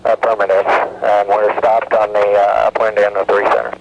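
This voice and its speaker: A man's voice: on the low side, little pitch movement, moderate pace, creaky, dull audio. A man speaking as an airline pilot to air traffic control over a cockpit radio. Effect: cockpit aviation radio. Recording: noisy cockpit radio transmission.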